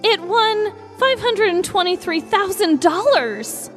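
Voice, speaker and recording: A woman acting out a wry store cashier in a podcast story, with emphatic, animated delivery. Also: extremely high pitch, takes it slowly, heavily acted. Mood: amazed, revealing. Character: store cashier, smirking, matter-of-fact bearer of big news. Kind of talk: acted dialogue in a podcast story. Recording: music behind the voice.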